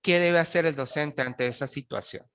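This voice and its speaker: A man's voice: fairly high pitch, moderate pace, a bit bright, clear, resonant tone. A man teaching an online postgraduate class, talking with students in a hesitant way and often checking in with "¿sí?". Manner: hesitant, restarts words, frequent checks with "¿sí?". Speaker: university lecturer. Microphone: video call.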